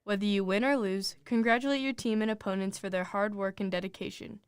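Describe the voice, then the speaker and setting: Young woman reading a scripted psa, smooth clear voice, dry recording, normal pitch. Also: fairly even intonation, brisk, dry recording. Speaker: student athlete reading public service announcement. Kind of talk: scripted announcement read.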